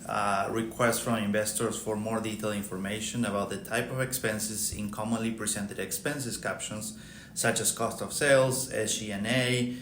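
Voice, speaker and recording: A man's voice: lowish voice, a bit bright. A middle-aged man with an Argentine accent, speaking as an expert podcast guest in a formal, methodical way. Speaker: podcast guest, accounting firm partner. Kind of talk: prepared technical explanation. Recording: roomy echo, faint background noise.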